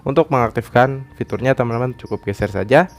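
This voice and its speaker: A man's voice: medium pitch, quick pace. A man hosting a phone app tutorial, explaining step by step in repetitive phrasing. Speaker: tutorial channel host. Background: background music.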